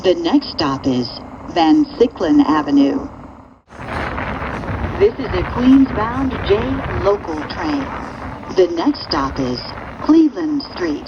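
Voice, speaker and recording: A woman reading formal, scripted subway announcements in deliberate phrases over a train's public-address speaker. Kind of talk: recorded transit announcements, scripted. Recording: dull, noisy recording inside moving train. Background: rumbling train noise.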